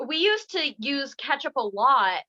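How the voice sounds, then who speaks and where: Silly young host, bright sharp voice, kinda muffled lo-fi recording. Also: female voice, high voice, sing-song, measured pace. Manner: goofy, silly sing-song asides. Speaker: podcast host. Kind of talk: playful casual interview.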